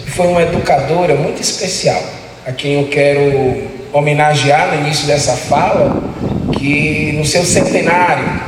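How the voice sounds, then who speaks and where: A middle-aged man lectures teachers in a large hall. His fairly high voice rings through a long, roomy reverb, picked up from some distance away. He keeps the audience involved with repeated rhetorical questions. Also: background noise. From Salvador, Bahia, Brazil. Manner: rhetorical, asks the audience questions, tags phrases with "né". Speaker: guest lecturer speaking to teachers. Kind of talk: motivational talk to an audience. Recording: very echoey hall, voice heard from a distance.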